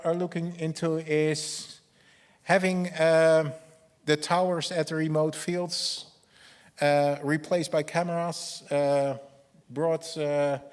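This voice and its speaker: A man with a Dutch accent giving a technical talk and answering questions as a conference speaker, halting and often repeating his words. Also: fairly high pitch. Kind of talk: technical presentation and audience Q&A.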